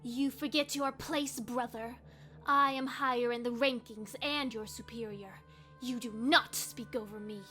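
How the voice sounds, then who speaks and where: Casting: actress as icy high-ranking young dragon, high voice, imperious and scornful, fantasy audio drama, faint music beneath. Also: female voice, fairly even intonation, a little slow, sharp tone. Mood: scolding. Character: haughty, icy, domineering young female dragon of high rank.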